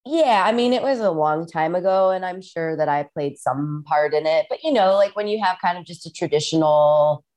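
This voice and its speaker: A woman's voice: on the low side, normal speed, hardly any pauses, a little mellow. A woman from the Midwest, a musician guest, talking casually over a video call and telling stories with lots of "like"s. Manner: rambling, filler-heavy storytelling with many "like"s. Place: farmhouse in rural Iowa.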